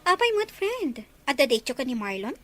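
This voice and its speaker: Woman playing a young girl friend who cares, high voice, audio drama. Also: big pitch swings, a little fast. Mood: curious. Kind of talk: Ilocano audio drama acting.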